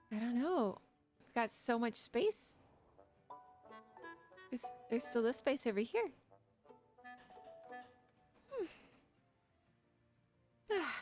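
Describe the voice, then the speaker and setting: A young woman streamer chatting casually with her viewers, giggly and talkative. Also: fairly high pitch. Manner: giggly, chatty, talks to chat. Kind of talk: casual livestream chat.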